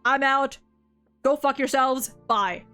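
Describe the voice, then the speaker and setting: A woman with a fairly high voice hosts a casual livestream discussion. She is animated and blunt, swears easily, and speaks over faint music. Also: takes it slowly, smooth. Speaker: host ranking game story quests with a guest.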